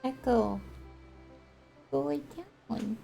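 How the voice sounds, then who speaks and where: A woman's voice: mid-range voice, lively intonation, drawn-out words, creaky, dark tone. A woman streaming a video game with casual, informal, giggly reaction commentary. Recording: game music behind.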